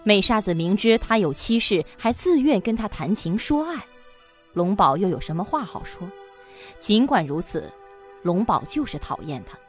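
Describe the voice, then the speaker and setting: A woman's voice: medium pitch, big pitch swings, normal speed, soft background music. A woman reading scripted narration for a suspense audio drama.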